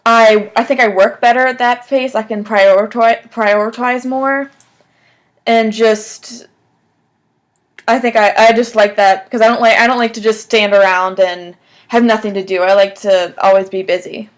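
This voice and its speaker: A young woman in her twenties with a slightly high voice gives conversational answers in a phone job interview. She speaks casually, peppering sentences with "like" as she explains herself. She is picked up by a webcam at desk distance in a small room. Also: steady tone, normal speed. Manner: casual, frequent "like" as filler. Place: small room at home, indoors.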